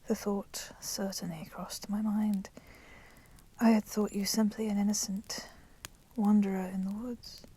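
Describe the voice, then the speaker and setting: A woman voicing a proud, resentful female vampire in a whispered ASMR roleplay, speaking softly and deliberately in formal, menacing phrases. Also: medium pitch. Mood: cold, accusing. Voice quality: soft, breathy whispering. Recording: constant crackling fire noise behind voice. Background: crackling fire.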